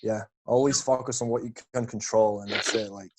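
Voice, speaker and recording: Laid-back young man, flat tone. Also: lowish voice, brisk, noisy background. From Lithuania. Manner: relaxed, laid-back, calls people bro. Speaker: podcast guest. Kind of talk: casual chat.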